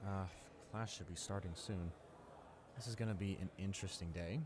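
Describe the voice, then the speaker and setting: A young man playing a friendly, eager high school senior in a roleplay audio drama, rambling enthusiastically with stammers and repeats. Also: fairly even intonation, normal speed, crowd noise, close to an everyday voice. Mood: friendly, upbeat. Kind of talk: roleplay audio drama, casual one-sided conversation. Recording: clear background noise, ambience.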